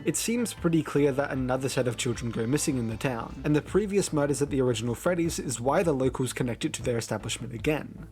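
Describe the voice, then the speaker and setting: A man's voice: medium pitch, darkish tone. Man narrating a video essay over music. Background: background music.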